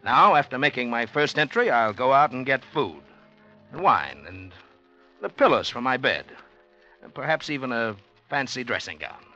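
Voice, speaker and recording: An actor playing an exultant, secretive, penniless poet, narrating theatrically in a 1940s radio drama. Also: male voice, mid-range voice, sing-song, normal speed, sharp tone, muffled recording. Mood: exultant, confiding. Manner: theatrical, intense, declamatory. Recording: old 1940s radio broadcast, music behind.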